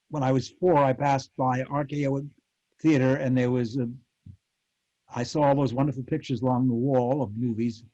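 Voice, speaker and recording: An American man in his eighties, a former radio actor, reminiscing in a panel interview with halting, animated storytelling. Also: medium pitch. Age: 80s. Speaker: former child radio actor, convention guest. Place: remote virtual convention call. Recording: muffled lo-fi call audio.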